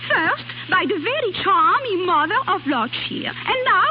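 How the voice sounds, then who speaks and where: A woman's voice: very high voice, big pitch swings, unhurried, exaggerated voice. A woman playing a proud, emotional young French cabaret singer with a French accent, in a 1930s radio drama. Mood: angry, defiant. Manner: indignant, emotional, foreign phrasing. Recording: noisy, dull 1930s radio recording.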